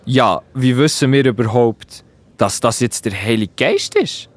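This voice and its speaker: A man with a Swiss German accent playing an excitable, puzzled disciple in a children's Bible audio drama. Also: medium pitch, a little slow, natural voice. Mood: speculating.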